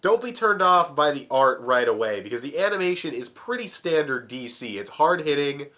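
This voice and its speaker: A man's voice: fairly high pitch, big pitch swings, moderate pace, pushed and bright. A young man with a cold, sounding plugged up, casually reviewing a cartoon, swearing and chuckling as he talks. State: sick, plugged up. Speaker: video reviewer.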